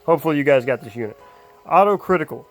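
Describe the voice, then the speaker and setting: A man casually talking through a mobile game character build, rambling with lots of ums and uhs, his voice a little hoarse. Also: fairly high pitch, lively intonation, racing, soft background music. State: slightly hoarse, losing his voice. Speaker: mobile game guide creator. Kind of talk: casual game guide commentary.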